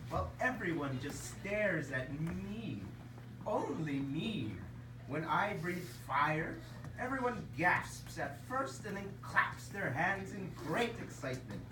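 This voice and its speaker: A woman voicing a proud little circus dragon. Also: lowish voice. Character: little male circus dragon, eager showman. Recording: echoey room, far mic, noisy.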